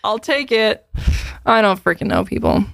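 A woman of twenty-seven chatting casually and candidly as a podcast co-host, informal and quick to swear. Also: mid-range voice, expressive, vocal fry, a bit bright, dry recording. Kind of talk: casual chat answering listener questions.

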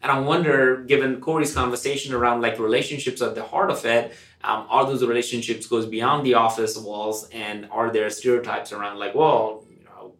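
In a roomy, echoing space, a man with a medium-pitched voice talks as a podcast co-host. He is conversational and emphatic, often tagging his points with little check-in questions. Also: fairly even intonation, moderate pace, fairly bright tone. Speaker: podcast co-host, company executive. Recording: roomy, echoey room sound.

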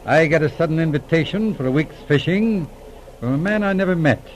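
Brisk police inspector played by a man, higher-pitched. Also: animated, natural voice. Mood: composed, businesslike. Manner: brisk, composed, probing. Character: astute, businesslike male police inspector on a case. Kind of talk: 1940s radio acting. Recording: noisy old radio recording.

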